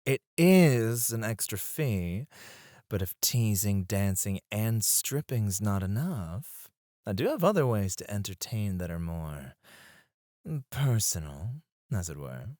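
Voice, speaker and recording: A man's voice: lowish voice, animated, slow pace, a little mellow, no echo. Man acting as suave male stripper, teasing, self-assured, swooping intonation, dry close recording, ASMR roleplay. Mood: smooth persuasion. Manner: teasing, suave, breathy asides and sighs. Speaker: ASMR voice actor.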